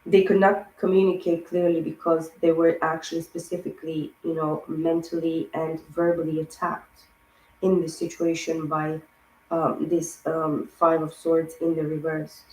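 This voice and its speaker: A woman giving a rambling spiritual tarot reading, hoarse and losing her voice, piling up words in long lists. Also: low voice, completely flat delivery, moderate pace, a little mellow, roomy sound, low-quality recording. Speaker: tarot reader.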